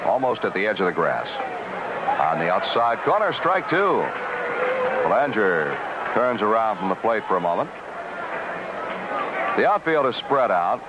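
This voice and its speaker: A male baseball play-by-play announcer with a lively, medium-pitched voice, describing action in clipped phrases. The recording is dull 1970s radio with heavy stadium noise. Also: measured pace, pauses often. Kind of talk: live radio sports commentary.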